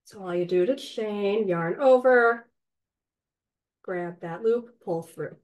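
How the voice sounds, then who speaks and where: A woman with a slightly raspy, cold-hoarse voice, patiently teaching a crochet class step by step and counting stitches aloud. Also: mid-range voice, slow pace, a little mellow. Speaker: crochet instructor. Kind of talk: step-by-step craft tutorial. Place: indoors, online class.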